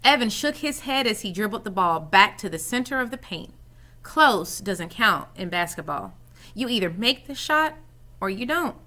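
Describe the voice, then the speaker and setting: Bright, animated woman reading a storybook. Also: medium pitch, normal speed. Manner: animated, dramatizes dialogue for children. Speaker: reading coach reading aloud. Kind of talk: expressive children's storybook read-aloud.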